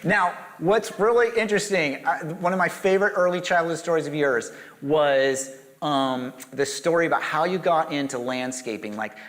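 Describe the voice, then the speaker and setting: A man with a somewhat high voice interviews a guest with eager, prompting questions. His voice rings out in a large room, with a long reverb behind each phrase. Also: moderate pace, fairly bright tone. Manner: enthusiastic, prompting, laughs along. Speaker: interviewer, church host. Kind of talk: casual interview. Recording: echoey hall with long reverb.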